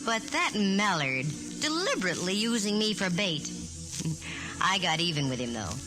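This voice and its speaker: A woman's voice: fairly low pitch, sing-song, rough recording. An actress playing a wisecracking, self-assured female private eye in a wry, teasing 1940s radio style. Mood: gloating, amused. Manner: wry, teasing, quick with quips. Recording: 1940s radio recording, organ music.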